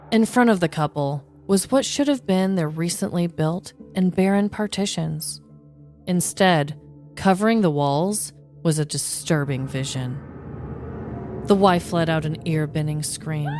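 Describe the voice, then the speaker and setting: A woman's voice: on the low side, a little mellow. A woman podcast host telling scripted true-crime stories with dramatic, tongue-in-cheek delivery, like a comedic narrator.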